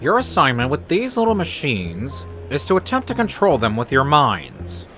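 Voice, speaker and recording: An actor playing a formal, instructive schoolteacher giving instructions in a comic dub. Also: male voice, mid-range voice, wildly swooping pitch, normal speed, bright, forceful tone, faint music behind, exaggerated voice. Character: schoolteacher setting a lab assignment. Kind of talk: acted comic dub dialogue.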